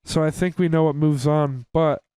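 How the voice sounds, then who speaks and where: A man's voice: fairly high pitch, steady tone, normal speed, dark tone, clean tone, dry recording. A man chatting casually as a podcast co-host, steering the discussion decisively. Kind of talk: casual chat.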